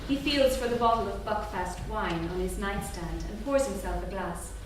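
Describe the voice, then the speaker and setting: A woman's voice: medium pitch, normal speed. A woman reading stage directions as scripted narration at a staged reading in a theatre. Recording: echoey theatre from far off, noisy.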